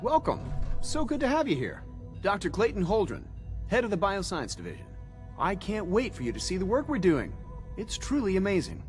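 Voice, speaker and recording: Game scientist: polite, enthusiastic department head, high-pitched and animated, over background music. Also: male voice, normal speed. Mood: eager, welcoming. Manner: polite, eager, articulate explaining. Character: enthusiastic, courteous male scientist, head of bioscience division. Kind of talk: video game dialogue acting.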